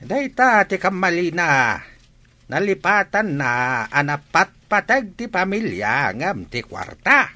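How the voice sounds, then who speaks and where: A man's voice: high-pitched, very animated, slow pace, pushed and bright, full character voice. A man with an Ilocano Filipino accent playing a blunt, grumbling village husband in a radio drama. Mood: disapproving.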